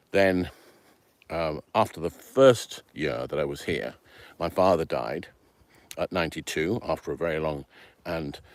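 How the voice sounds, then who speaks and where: A man's voice: low-pitched, dry recording. A middle-aged man from Venezuela telling his life story in a rambling, casual way with many ums and uhs. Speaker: channel host telling his background.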